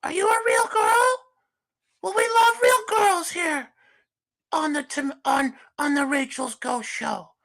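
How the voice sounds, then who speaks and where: Man putting on a squeaky high fake lady host, slow, bright, fawning, says "well" a lot. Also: full character voice. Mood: gushing. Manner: falsetto, starts lines with "well", fawning. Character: shrill fake woman hostess, gushing. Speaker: livestream host. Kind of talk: comedic livestream character bit.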